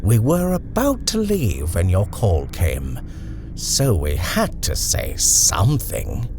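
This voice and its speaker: A man as a calm, sinister, deep-voiced gentleman. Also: slow, full character voice. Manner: calm, dry and mocking. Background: music.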